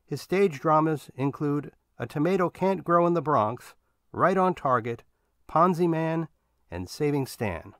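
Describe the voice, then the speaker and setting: A man hosting a podcast, reading a scripted outro in a measured, announcing manner. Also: on the high side, lively intonation, fairly bright tone, no echo, clean studio sound. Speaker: podcast host.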